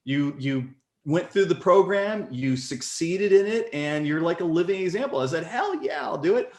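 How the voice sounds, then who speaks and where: A man in his forties telling his story as a podcast guest in casual conversation, animated and leaping up in pitch when excited. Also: normal speed. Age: 40s. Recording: echoey room.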